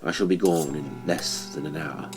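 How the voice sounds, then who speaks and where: A man narrating a horror story for an audiobook in a measured, deliberate, dramatic way. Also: a bass voice, steady tone, music in the background.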